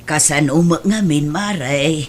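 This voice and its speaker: Meek older Ilocano mother, acted by a woman, low-voiced. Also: slow, a little mellow. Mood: weary, confiding. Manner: apologetic, pleading, placating. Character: meek, self-sacrificing older mother of a demanding grown daughter. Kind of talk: amateur radio-style Ilocano audio drama. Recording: background noise and ambience.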